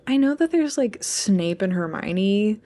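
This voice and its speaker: A woman's voice: medium pitch, big pitch swings, unhurried, dark tone. A young adult woman co-hosting a podcast in casual chat, rambling and peppering her talk with "like". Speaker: podcast co-host, English PhD student.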